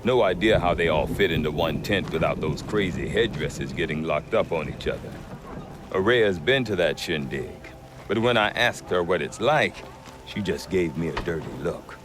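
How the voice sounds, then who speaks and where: Gruff trader, really low voice, bouncy wry delivery, music under it. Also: male voice, moderate pace, background noise. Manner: wry, dry humor, lively delivery. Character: gruff middle-aged male frontier trader, wry. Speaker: merchant trader. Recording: game cutscene with music behind.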